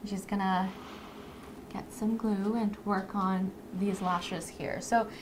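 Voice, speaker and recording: A woman's voice: mid-range voice, fairly even intonation, slow pace. Chatty woman presenting over background noise. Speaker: live stream co-host introducing a lash artist. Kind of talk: casual presenting to a live audience.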